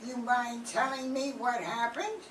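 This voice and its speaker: A methodical homicide sergeant from a vintage radio mystery, played by an older woman. The voice is high and slow, putting businesslike questions with care. It sounds distant in a roomy space. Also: fairly even intonation, fairly bright tone. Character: police homicide sergeant, calm, methodical, probing. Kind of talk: 1940s radio mystery acting, read from script. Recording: roomy, distant mic, faint noise.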